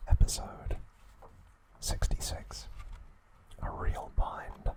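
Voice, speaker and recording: A man narrating scripted ASMR in a breathy, unvoiced whisper, with hushed, deliberate phrasing and pauses. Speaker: ASMR host.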